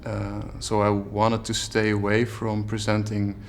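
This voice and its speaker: A man in an interview, reflecting on his creative work as an illustrator in a measured, explanatory way. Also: on the low side, monotone, slight background noise. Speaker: illustrator and storyteller. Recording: music behind the voice.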